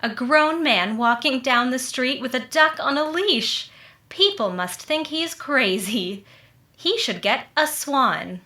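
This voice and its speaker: A woman's voice: high voice, lively intonation, takes it slowly, bright, forceful tone, reverberant, exaggerated voice. A woman playing a cheery, amused neighbor and soap seller in 1940s radio style. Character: perky, amused neighbor friend and soap pitchwoman. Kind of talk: 1940s radio ad and comedy acting.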